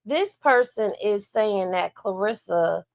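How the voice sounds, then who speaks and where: A woman's voice: medium pitch, expressive, slow, gravelly. A woman hosting a livestream, chatting casually in with a drawling, folksy way of gossiping. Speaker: livestream host.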